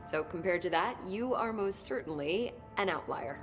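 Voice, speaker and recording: Actress as chatty, low-pitched nerdy female scientist. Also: animated, normal speed, faint music behind, natural voice. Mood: keen, analytical. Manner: chatty, pedantic, rambling about details. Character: eager, nerdy female research scientist, easily sidetracked. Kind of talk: video game dialogue acting.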